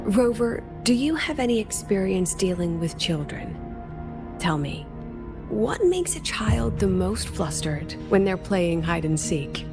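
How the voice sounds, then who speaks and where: A woman playing a composed, wry and playfully teasing female academy mentor with a quiet sadness, in scripted video game dialogue. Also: mid-range voice, sing-song, a little slow. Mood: brisk, coaxing. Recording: studio voice over game music.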